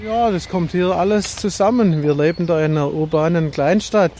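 A man speaks as a town official, explaining things in a matter-of-fact way while on a walking tour outdoors. His voice is mellow and a little higher than usual for a man. Faint traffic noise sits behind him. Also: moderate pace.

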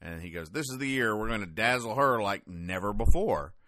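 A man with a fairly low voice delivers casual comedy commentary as a podcast host. His manner is deadpan and wry, and the sound is dry, with very little room echo. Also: brisk. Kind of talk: casual comedic commentary, explaining comic strips.

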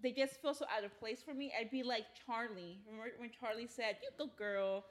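An American woman with a fairly high voice chats as a podcast co-host. She explains herself through everyday anecdotes, acting out bits of dialogue in a conversational way. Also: fairly even intonation, brisk. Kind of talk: casual anecdotal chat.

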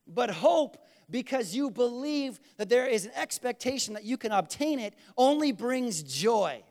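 A man preaching a sermon, repeating key lines for emphasis and swinging between loud bursts and quiet asides. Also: extremely high pitch, big pitch swings, unhurried. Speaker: pastor.

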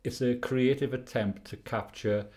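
Mellow, hesitant Welsh male interviewer. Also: medium pitch, fairly even intonation, a little slow. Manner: hesitant, many uhs and restarts. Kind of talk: conversational interview.

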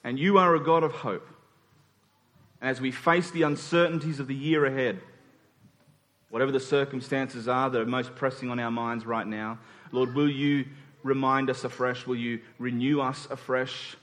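A man preaching a sermon in an earnest, emphatic way, repeating phrases and pausing deliberately. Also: medium pitch, fairly even intonation, a bit bright. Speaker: pastor preaching to his congregation. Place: church hall during a service. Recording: reverberant hall sound.

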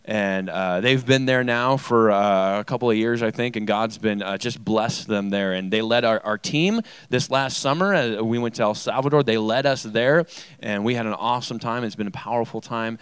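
A male pastor giving an informal church introduction, speaking earnestly and haltingly and repeating phrases. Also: fairly low pitch, clear, resonant tone, no room sound.

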